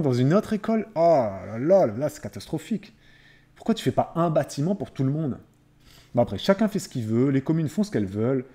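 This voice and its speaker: Guy live streaming, relaxed mellow voice, medium pitch, chatty, repeats phrases to make his point. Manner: conversational, repeats phrases for emphasis. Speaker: live streamer reading viewers' chat. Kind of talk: casual live-stream chat.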